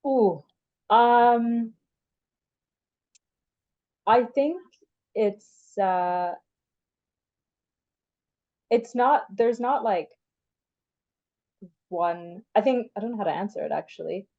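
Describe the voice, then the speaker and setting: A woman's voice: medium pitch, extremely slow, pauses often, phone-quality sound. A young woman from Canada, the guest in a casual interview, talking in a chatty way with many "like" fillers. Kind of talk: casual conversation.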